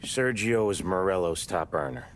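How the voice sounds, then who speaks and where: Deep-voiced, grim mafia henchman. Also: lively intonation, a little hiss or hum. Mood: businesslike. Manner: deliberate, matter-of-fact. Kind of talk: cinematic crime game acting.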